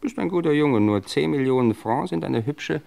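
Cold gangster boss in old radio crime drama, smooth talker, bossy, pitch swings a lot. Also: male voice, animated, normal speed, a little mellow. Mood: quietly menacing. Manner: suave, controlled, domineering. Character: cold, menacing gangster boss, a connoisseur of food and wine. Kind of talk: German crime radio play acting of the 1950s to 80s.

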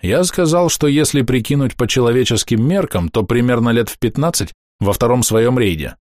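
A man reading a first-person diary aloud in a calm, matter-of-fact, measured way. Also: mid-range voice, no room sound, clean studio sound. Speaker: audiobook narrator.

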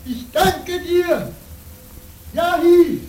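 An actor playing a stubborn, fervent wandering monk in a radio play, with a solemn, declamatory delivery. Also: male voice, very high-pitched, very slow, a little mellow, exaggerated voice. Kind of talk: German radio play acting. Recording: echoey, noisy old radio drama recording.

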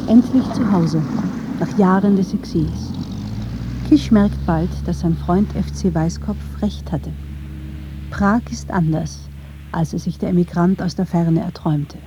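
A woman's voice: lowish voice, unhurried, noisy background. A woman reading scripted narration for a radio documentary.

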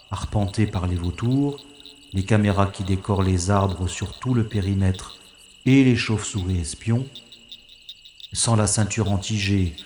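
A man's voice: fairly low pitch, a little mellow, roomy sound, slight background noise, natural voice. A man voicing a wry, self-mocking secret agent who narrates his own mission in an audio drama. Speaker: first-person narrator-hero. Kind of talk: audio drama inner monologue.

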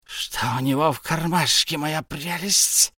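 A man voicing a wretched, greedy cave creature who hisses and whines in a high, drawn-out voice. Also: sharp tone, husky, no room sound, studio quality, exaggerated voice. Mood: sly. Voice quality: hissing, whiny.

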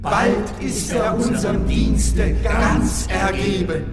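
Very slow, exalted priest. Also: male voice, high-pitched. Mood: reverent, jubilant. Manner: slow ceremonial chanting delivery. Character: exalted priests solemnly praising their gods. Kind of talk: German radio play acting. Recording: distant, cavernous echo, loud music.

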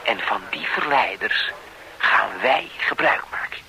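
A knowing former policeman, lecturing patiently with a tag question now and then in a radio play. His mid-range voice moves freely in pitch. It sounds bright and forward. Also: lively intonation, unhurried, vocal fry, a little hiss or hum, exaggerated voice, poor audio. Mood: explaining. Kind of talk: radio drama acting.

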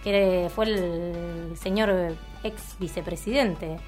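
A woman's voice: fairly low pitch, fairly even intonation, takes it slowly, a little mellow, soft background music. A woman with an Argentine accent co-hosting a radio show in casual political chat.

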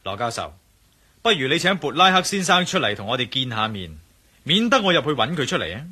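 Armed hero, forceful bright voice, rough edge, menacing, sardonic, Hong Kong accent, radio drama. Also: male voice, medium pitch, sing-song, a little fast, heavily acted. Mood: cold menace. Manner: commanding, sardonic. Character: menacing male hero with a gun, demanding answers. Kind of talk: Cantonese radio drama acting.

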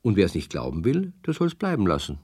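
A man's voice: fairly low pitch, wildly swooping pitch, brisk, very noisy. A man narrating a children's radio play as a friendly, wry storyteller who chats directly to young listeners. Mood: wry, chatty.

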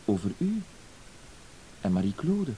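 Low-voiced, fussy blackmailer. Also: male voice, sing-song, a little slow, warm tone, rough recording. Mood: quietly menacing. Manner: hesitant, circling, full of verbal tics and apologies. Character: fussy, hesitant, apologetic male blackmailer. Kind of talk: radio play acting. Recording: hissy old radio play recording.

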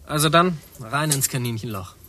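An actor in a radio play playing a teasing, flippant crewmate. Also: male voice, medium pitch, animated, normal speed, bright, forceful tone, slight background noise.

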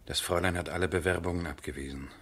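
A brooding male aristocrat with a low voice. He gives terse, impatient orders with resolute authority, in the manner of seventies radio drama. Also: fairly even intonation, rapid-fire, a bit bright, breathy edge. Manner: terse, commanding delivery. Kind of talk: 1970s German radio play acting.

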